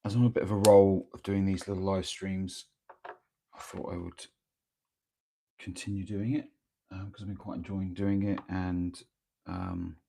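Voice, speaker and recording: A man talks in a very low, gravelly voice that has gone croaky from a cold. He rambles hesitantly and casually, full of pauses and restarts, as he chats to viewers at home. His tone stays husky throughout. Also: level tone, dark tone. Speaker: miniature painter streaming live. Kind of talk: casual live-stream chat while working. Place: home workspace, early morning. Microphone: microphone near the speaker.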